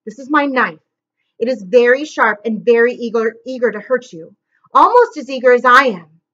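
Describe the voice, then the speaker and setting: A woman's voice: high voice, animated, pushed and bright, lo-fi sound, exaggerated voice. A woman reading aloud and voicing a scheming, sneering adult male villain with a mocking, menacing manner. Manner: mocking, menacing, drawn-out words.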